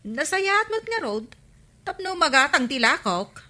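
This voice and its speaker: A woman's voice: high-pitched, big pitch swings, unhurried, bright, forceful tone, exaggerated voice. A woman playing a practical, nagging village wife in an Ilocano radio comedy drama, often questioning. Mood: doubtful.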